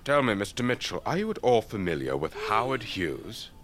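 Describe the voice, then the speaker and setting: An actor playing an elderly English retired air force officer in a radio drama, avuncular and chatty, with old-fashioned clipped politeness. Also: male voice, fairly low pitch, big pitch swings, moderate pace, fairly bright tone, a little hiss or hum. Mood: wistful, enthusiastic. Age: older.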